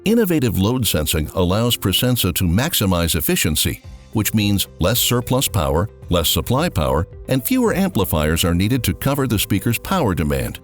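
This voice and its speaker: Lively low-pitched male corporate narrator. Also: normal speed. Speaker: promotional video narrator. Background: music.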